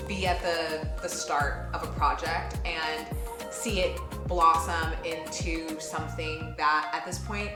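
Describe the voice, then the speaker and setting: A woman giving a casual, reflective interview as a voice actor, talking expressively and emphatically and correcting herself as she goes. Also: on the low side. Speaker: voice actor in an audio drama. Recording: roomy echo with music behind. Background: music.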